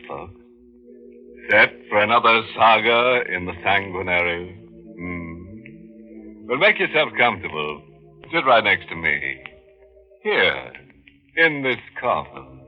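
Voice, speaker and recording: An actor playing a gleefully macabre, mock-genial horror host in 1940s radio style, chuckling over his puns. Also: on the low side. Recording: dull vintage 1940s radio with music.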